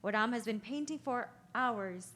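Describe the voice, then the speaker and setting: A woman's voice: fairly high pitch, normal speed, fairly bright tone. A woman reading stage directions as narrator at a play's table read, in scripted narration.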